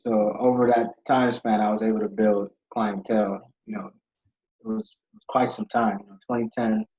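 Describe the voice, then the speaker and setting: A man's voice: on the low side, mellow. A young man from Ghana, a podcast guest who tells his story in a rambling, casual way with many fillers. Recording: dull lo-fi audio.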